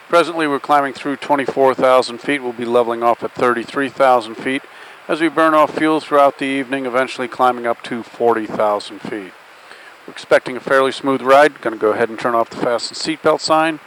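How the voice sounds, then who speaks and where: A man's voice: mid-range voice, fairly bright tone, poor audio. Airline captain, male, mid-range voice, easygoing phrasing, flight deck PA with slight engine drone. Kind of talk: semi-scripted flight deck announcement. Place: flight deck over cabin PA. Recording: faint aircraft noise over PA. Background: faint aircraft drone.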